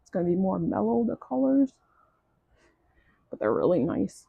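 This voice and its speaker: A woman's voice: mid-range voice, very animated, moderate pace, creaky, slightly rough, no echo, phone-quality sound. A woman with a French accent, French her first language, chatting casually through a craft kit unboxing, gushing and restarting often. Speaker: crafting vlogger.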